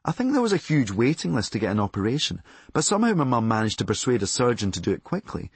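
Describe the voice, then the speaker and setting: A Scottish man from Glasgow narrates a memoir in a husky voice. His delivery is deadpan and drily comic, yet the pitch moves in lively swings. The sound is dry and free of room echo. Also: quick pace. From Glasgow, Scotland. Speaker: audiobook narrator and author.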